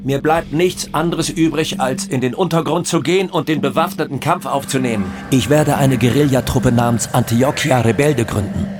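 An actor playing a calm, defiant drug lord in a German radio docudrama, speaking in a measured, formal way. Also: male voice, mid-range voice, over music, natural voice. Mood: defiant resolve. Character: defiant drug lord, calm, self-important outlaw leader.

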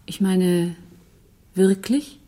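A woman with a dark, husky voice acting a crook's lover in a German radio drama. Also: medium pitch, very slow. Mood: searching. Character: female partner of a crook, questioning her lover.

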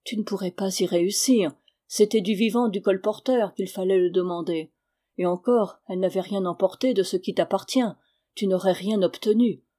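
A sad old man, the elder of a village, voiced by a woman narrator. The tone is mellow and husky, gentle and regretful, yet firm, as in a literary audiobook. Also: medium pitch, normal speed, natural voice. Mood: resigned. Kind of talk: dramatic audiobook character reading.

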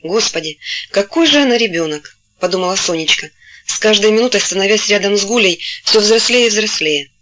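A woman narrating a novel in a steady storytelling voice, gently taking on the characters' voices when they speak. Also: medium pitch, a little fast, lo-fi sound, background noise. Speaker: audiobook narrator. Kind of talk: scripted literary narration.

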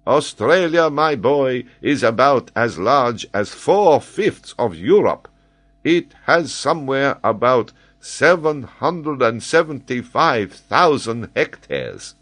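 A man voicing an excitable, learned French geographer, speaking eagerly and emphatically as he explains. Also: medium pitch, takes it slowly, pitched above the performer's own voice, full character voice. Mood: lecturing. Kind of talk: audiobook character voice.

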